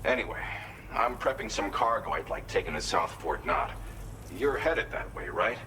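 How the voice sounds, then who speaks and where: A man playing an earnest, grateful researcher in a video game cutscene, explaining things in a measured, conscientious way. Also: sits low, animated, pushed and bright, slight background noise. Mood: grateful, cautioning. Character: earnest, grateful male researcher and weapons inventor briefing a courier.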